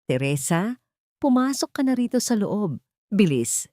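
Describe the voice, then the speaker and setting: A woman's voice: mid-range voice, very animated, a little slow, warm tone, no room sound, studio quality, voice pushed up. A woman with a Filipino accent voicing an anxious, urgent old male driver in an audiobook drama. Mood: urgent, nervous.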